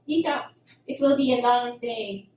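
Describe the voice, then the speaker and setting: A woman's voice: high-pitched, fairly even intonation, takes it slowly, darkish tone. A woman acting in an amateur radio drama as a creepy, mocking kitchen server with stilted, formal phrasing. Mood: menacing taunting. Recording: roomy, distant, faint background noise.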